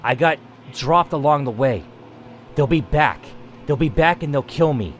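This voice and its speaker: A man's voice: a little high, animated, measured pace, soft background music, voice pushed up. A man voicing a dying, desperate male scientist who begs for mercy in a strained, pleading way.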